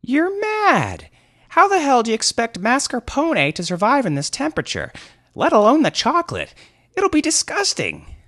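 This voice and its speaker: A man's voice: extremely high pitch, huge pitch swings, normal speed, a bit bright, dry recording, studio quality, voice pushed up, heavily acted. A man voicing a scornful, irreverent thief who speaks in derisive slang in a comic fantasy story. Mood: outraged. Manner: derisive, colloquial.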